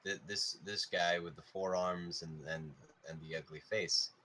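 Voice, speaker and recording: Male D&D player, fairly low, bright voice, halting delivery. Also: fairly even intonation. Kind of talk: tabletop game play.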